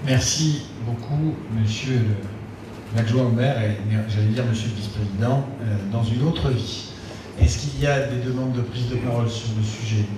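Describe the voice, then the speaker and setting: A man's voice: mid-range voice, normal speed. A man chairing a town council meeting and making formal remarks. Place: large indoor council meeting room. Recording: echoey hall from far off, loud noise.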